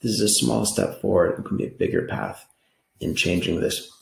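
An American man from New York giving an earnest, explanatory slide presentation pitch in an echoing room. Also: sing-song, normal speed, gravelly. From New York, United States. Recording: roomy, echoing voice recording.

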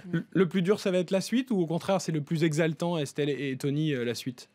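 High-pitched, fast-talking French radio host. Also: male voice, darkish tone. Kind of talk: lively broadcast interview hosting. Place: radio studio round a table. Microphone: desk studio microphone.

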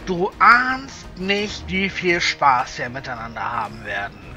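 A leering pirate thug in a fantasy audio play. His voice is high and sharp, swinging widely in pitch as he slowly taunts and sneers. Music plays underneath. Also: huge pitch swings, full character voice. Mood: leering, gloating. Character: brutish, leering, mocking male pirate thug. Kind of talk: fan-made fantasy audio drama acting.

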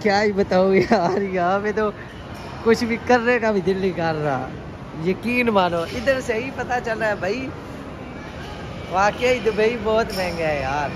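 Young man, fairly high voice, playful slangy vlog chat, noisy handheld phone recording. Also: a little slow. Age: 20s. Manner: playful, slangy, repeats catchphrases. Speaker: travel vlogger. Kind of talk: casual vlog chat to viewers. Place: car, parking garage and busy indoor mall. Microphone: handheld phone at arm's length. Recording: noisy handheld recording with loud ambient noise.

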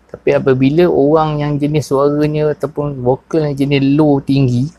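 A man in his thirties with a Perak Malay accent, casually explaining his sound work in a vlog from a small office, pausing often with fillers. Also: fairly high pitch, dry recording. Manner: relaxed, many fillers and pauses. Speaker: sound technician. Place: small cluttered office, indoors. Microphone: clip-on lapel mic.

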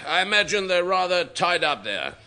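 An actor playing a flustered, evasive British civil servant with a plummy, hesitant delivery in a radio comedy. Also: male voice, high-pitched, very animated, normal speed, bright, forceful tone, slight background noise, heavily acted. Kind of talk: 1960s radio comedy acting.

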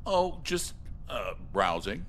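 A man voicing an awkward, creepily ingratiating middle-aged suburban dad in a visual novel, halting and stammering. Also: mid-range voice, big pitch swings, fairly bright tone, a little hiss or hum. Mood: nervous, ingratiating. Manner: halting, stammering, fumbling for words.

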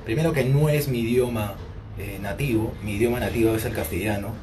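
A man in his forties with a Peruvian Spanish accent, chatting casually outdoors with fans and often pausing on "eh" as he answers their questions on a phone. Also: mid-range voice, background noise. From Peru. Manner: relaxed and unhurried, with frequent hesitant "eh" fillers. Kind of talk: casual live chat with fans. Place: outdoors in bright sun by a glass building. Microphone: phone held at arm's length, wireless earbuds.

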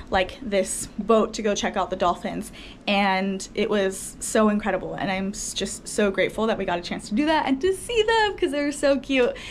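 Young female travel vlogger, casual and gushing, average pitch, close camera, slight hiss. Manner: chatty and enthusiastic, lots of fillers. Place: indoors by a window.

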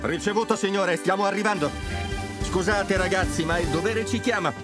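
An actor playing a calm, authoritative firefighter team leader in a children's cartoon, clear, commanding and reassuring. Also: male voice, high-pitched, normal speed, natural voice. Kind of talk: animated children's cartoon dub. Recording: cartoon music behind.

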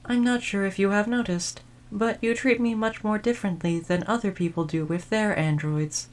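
A woman's voice: mid-range voice, steady tone, a little mellow, natural voice. Woman playing a formal, caring android servant. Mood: earnest, reflective. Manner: formal, polite, measured phrasing. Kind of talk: audio roleplay addressed to the listener.

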